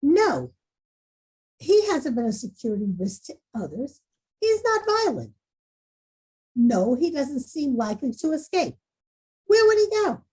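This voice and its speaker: A woman playing an exasperated, defensive school principal in a table read, questioning forcefully. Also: sits high, slightly rough, full character voice. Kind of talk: screenplay table read acting.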